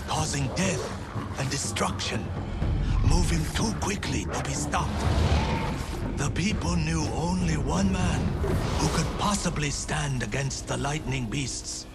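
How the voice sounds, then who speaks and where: Game narration, solemn tale-teller, unhurried, measured and epic, prominent music underneath. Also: male voice, fairly high pitch. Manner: measured, dramatic, reverent. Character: solemn legend-telling storyteller of feudal Japan. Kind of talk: scripted legend narration in a game.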